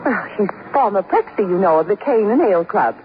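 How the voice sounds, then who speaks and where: An actress playing a prim, defensive wife in a radio drama, fussy, emphatic and insistent. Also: female voice, medium pitch, lively intonation, fairly quick, close to an everyday voice. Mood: insistent, fretful. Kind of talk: 1950s radio drama acting. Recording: tinny, faintly noisy 1950s radio recording.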